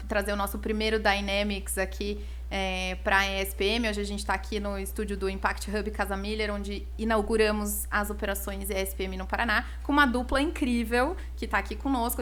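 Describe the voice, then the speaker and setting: A woman's voice: medium pitch, fairly even intonation, fairly quick, fairly bright tone. A woman co-hosting a podcast interview, speaking in an upbeat, conversational way. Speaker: co-host, business partner. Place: podcast studio.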